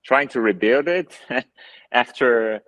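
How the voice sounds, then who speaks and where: A man's voice: mid-range voice, slow pace, fairly bright tone, dry recording. A man in his thirties with a Brazilian Portuguese accent, a photographer talking as a guest on a video call from his living room, thoughtful and full of fillers and restarts. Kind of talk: casual video call interview. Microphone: earbuds on a video call.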